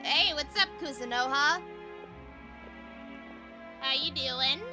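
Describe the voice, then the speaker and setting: A woman's voice: high voice, slow pace. A woman livestreaming a video game, chatting casually to her viewers over game music. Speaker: livestream host. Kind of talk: casual gaming livestream chat. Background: video game music and dialogue.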